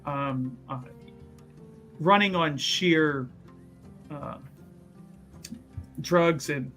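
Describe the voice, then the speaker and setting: A man playing a tabletop roleplaying game, explaining his character's doings in a halting, rambling way full of fillers and restarts. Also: fairly high pitch, fairly bright tone. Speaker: player. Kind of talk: actual-play tabletop roleplay.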